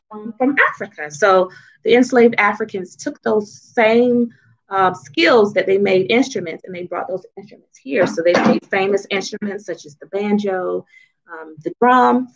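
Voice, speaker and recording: A woman reads a picture book aloud to children as its author, in an expressive, teacherly way, pausing to explain and ask questions. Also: medium pitch, lo-fi sound. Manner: teacherly, asks questions and checks in often.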